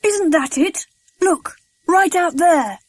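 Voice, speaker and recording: A woman's voice: extremely high pitch, expressive, takes it slowly, no room sound, exaggerated voice. A woman playing eager, exclamatory children in an adventure audiobook. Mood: startled. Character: excitable adventurous children, boys and girls.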